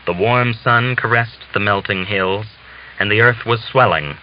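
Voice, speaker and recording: A man reading literary narration as an audiobook narrator, in a measured, deliberate storytelling manner. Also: fairly low pitch. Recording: dull hissy lo-fi recording.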